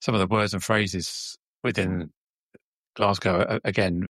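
A man's voice: low-pitched, steady tone, unhurried, fairly bright tone, no room sound. A man hosting a show, interviewing in a casual, conversational way with a hesitant, rambling delivery.

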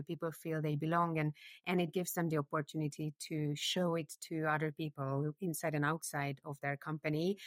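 A woman's voice: sits low, no echo. A woman with a Hungarian accent, talking earnestly with many fillers and restarts as a guest in a discussion. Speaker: executive producer, guest. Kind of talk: conversational discussion. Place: London, UK.

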